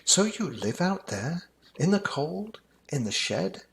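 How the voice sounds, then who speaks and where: Man playing an eager, pleading kid, pitched up. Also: big pitch swings, slow, gravelly, full character voice. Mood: curious, kind. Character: earnest, kind-hearted child befriending a mouse. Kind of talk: rhyming children's storybook reading.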